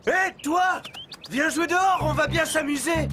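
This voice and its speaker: Male stray dog, cartoon, brash, mocking, swaggering, slangy, clear music underneath. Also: extremely high pitch, a little slow. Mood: cheeky. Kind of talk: children's cartoon acting.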